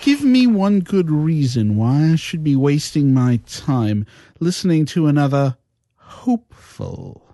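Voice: medium pitch, wildly swooping pitch, measured pace, mellow, no room sound. A performer acting a jaded, impatient villainous interviewer with a curt, dry, formal manner in an amateur audio drama. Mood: curt, irritated.

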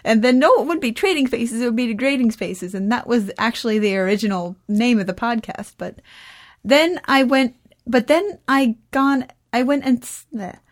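High-voiced teenage girl, rambling. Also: normal speed. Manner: rambling, self-interrupting, dryly joking. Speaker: young podcast creator and guest. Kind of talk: casual chat.

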